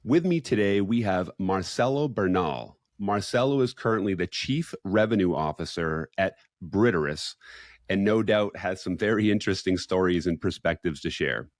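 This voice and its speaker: A man's voice: low-pitched, lively intonation, normal speed, dry recording, clean studio sound. A man hosting a podcast, interviewing a guest with eager, rambling questions that he sometimes restarts. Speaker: podcast host.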